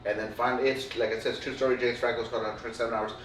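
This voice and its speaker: A man tells a winding story in loose, casual chat, stumbling through fillers and tossing in swear words. His voice sits at an ordinary pitch. He is heard a little way off the mic in an echoing room, with a faint hum behind him. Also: little pitch movement, very fast, a bit bright. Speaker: podcast co-host. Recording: roomy, distant mic, faint room noise.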